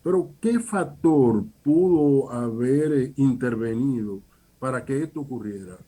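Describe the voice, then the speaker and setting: A man poses deliberate questions as a radio interviewer. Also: medium pitch, expressive, warm tone, breathy edge.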